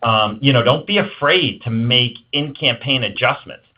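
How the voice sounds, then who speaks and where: A man with a medium-pitched voice answers interview questions as an executive guest. His delivery is conversational, with emphatic points of advice. The audio is dull and lo-fi, lacking some top end. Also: moderate pace. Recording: dull lo-fi band-limited audio.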